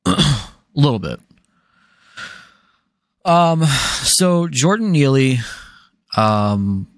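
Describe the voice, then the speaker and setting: A man co-hosting a podcast in casual chat, blunt, swearing freely and stating his opinions flatly. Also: mid-range voice, no room sound, studio quality. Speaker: podcast co-host.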